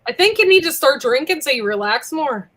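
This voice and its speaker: A woman's voice: high-pitched, expressive, fairly quick, fairly bright tone. A woman in a casual chat, with a rising delivery that makes everything sound like a question.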